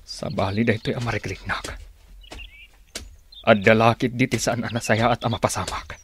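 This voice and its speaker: A man's voice: lowish voice, steady tone, moderate pace, slight background noise, natural voice. A man playing a wary armed tracker thinking aloud in a Philippine radio drama. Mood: tense, wary. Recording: bird chirps and outdoor sound effects.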